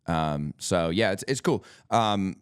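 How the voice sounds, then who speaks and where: Deep-voiced Southern man, easygoing. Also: expressive, clean tone, no echo, studio quality. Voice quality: deep baritone bass voice. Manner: easygoing, filler-heavy, halting. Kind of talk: casual chat.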